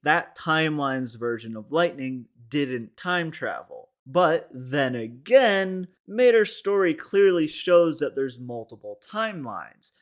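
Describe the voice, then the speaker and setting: A man with a slightly high voice delivers comedic video essay commentary. He sounds wry and mock-serious, dropping exasperated asides as he narrates.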